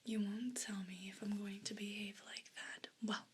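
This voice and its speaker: A woman voicing a haughty, offended evil pet cat in an ASMR role play, speaking in a breathy whisper with a pompous, formal, deliberate manner. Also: mid-range voice, little pitch movement, a little hiss or hum, close to an everyday voice. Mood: indignant, suspicious.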